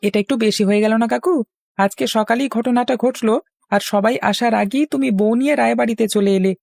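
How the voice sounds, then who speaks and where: A determined young village schemer, cartoon style, dry close sound. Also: male voice, very high voice, a little fast, vocal fry, a little mellow, dry recording. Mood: challenging. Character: resolute, scheming young village man, loyal friend. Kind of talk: Bengali cartoon voice acting.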